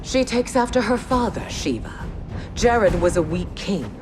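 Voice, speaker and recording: A woman's voice: mid-range voice, expressive, unhurried, over music, lightly acted. An actress playing a proud, ruthless warrior empress and scheming mother in a video game, speaking in a regal, formal manner. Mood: cold, ruthless. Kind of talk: video game cutscene acting.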